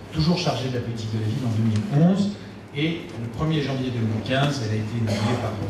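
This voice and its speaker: Echoey, far-off man chairing a meeting. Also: medium pitch. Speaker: chair of town council meeting. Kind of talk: formal meeting chairing and remarks. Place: large indoor council meeting room. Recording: echoey hall from far off, loud noise.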